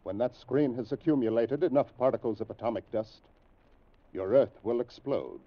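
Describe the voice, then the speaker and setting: An actor playing a stern alien spaceship captain in an old radio drama, speaking in formal, measured, imperious declarations. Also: male voice, mid-range voice, close to an everyday voice. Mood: grave, instructive. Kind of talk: 1950s radio science fiction drama acting. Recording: muffled, tinny old radio recording.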